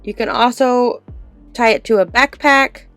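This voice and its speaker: A woman's voice: a little high, unhurried, fairly bright tone, smooth, faint music behind. A woman giving a casual product review and demonstration, explaining things informally and step by step.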